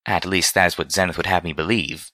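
A man voicing a refined, teasing female pony with a smooth, silky voice and genteel, formal diction, in a storybook reading. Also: sits low, fairly even intonation, fast, pushed and bright, dry recording. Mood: dry, prim.

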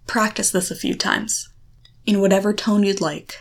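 A woman guiding the listener through a visualization, coaching in short, deliberate phrases with a reassuring, instructive manner. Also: mid-range voice, steady tone, gravelly. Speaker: guide leading a guided erotic confidence exercise. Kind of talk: guided visualization, second-person coaching.

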